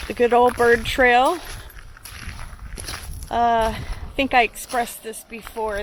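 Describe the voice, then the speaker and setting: A woman in her fifties from Ohio, chatting casually to camera while walking her dogs on a nature trail, with many ums and restarts. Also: fairly high pitch. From Ohio, USA. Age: 50s. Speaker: channel creator. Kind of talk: casual vlog talk to camera. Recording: outdoor recording with clear background noise.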